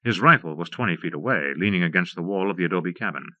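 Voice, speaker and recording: Sharp, low-voiced male western narrator. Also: lively intonation, quick pace, no room sound. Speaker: audiobook narrator. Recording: slightly dull, lo-fi cassette transfer.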